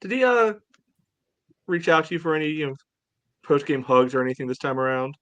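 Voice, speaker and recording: A man co-hosting a soccer podcast in casual chat, sardonic and a bit halting, peppering it with filler phrases. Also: fairly high pitch, animated, fairly bright tone, no echo.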